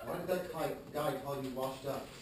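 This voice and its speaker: A man's voice: medium pitch, normal speed, very noisy, close to an everyday voice. A man playing a naive, eager young man in a live comedy radio play, earnest and full of incredulous questions. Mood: pleading. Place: live performance venue. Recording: echoey live venue, distant mic, crowd.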